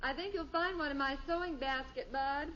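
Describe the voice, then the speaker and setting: A woman's voice: high-pitched, moderate pace, fairly bright tone. An actress playing a sensible, put-upon middle-aged American mother in a 1950s radio sitcom, dry and sarcastic. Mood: resigned. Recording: muffled, noisy old radio recording.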